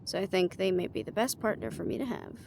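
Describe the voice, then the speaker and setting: A woman's voice: on the low side, fast, vocal fry, background noise. A woman playing a witty, confident young female adventurer in a playful, conversational audio roleplay. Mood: planning, confident. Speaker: amateur voice actor. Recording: music behind the voice.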